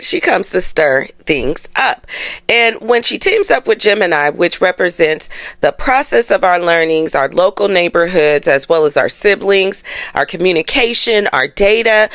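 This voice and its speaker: A woman's voice: on the low side, animated, unhurried, fairly bright tone, no room sound. A woman hosting an astrology show, chatting casually to her audience in a sassy, playful, slangy way. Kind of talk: casual chatty explainer to camera.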